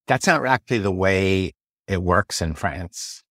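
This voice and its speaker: Chatty low-voiced American man. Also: lively intonation, a little slow, a bit bright, no echo, studio quality. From United States. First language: English. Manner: chatty, tells anecdotes with quoted voices. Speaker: podcast host. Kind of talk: casual interview chat.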